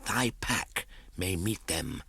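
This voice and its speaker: A man voicing an ancient, wise and cunning giant python for a storybook, gravelly and breathy, speaking archaically and deliberately, sinking toward whispers. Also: low-pitched, expressive, sharp tone, no room sound, voice pushed up, full character voice. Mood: cunning, ominous. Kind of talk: audiobook storybook character acting.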